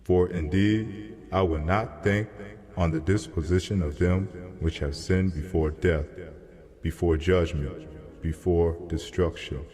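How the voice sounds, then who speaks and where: Man acting a deep, slow, solemn heavenly messenger. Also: steady tone, darkish tone. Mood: solemn, measured. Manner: measured, solemn, declamatory. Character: solemn male heavenly messenger answering a prophet. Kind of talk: dramatized scripture reading in archaic English. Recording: long cavernous reverb.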